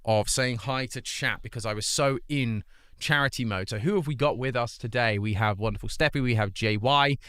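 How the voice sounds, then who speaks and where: A man's voice: mid-range voice, moderate pace, bright, forceful tone, smooth, dry recording, studio quality. A man hosting a charity livestream, chatting casually and earnestly urging viewers to donate while still getting over an illness. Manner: earnest, pleading appeals to chat, rambling restarts. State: recovering from an illness, voice not at full strength.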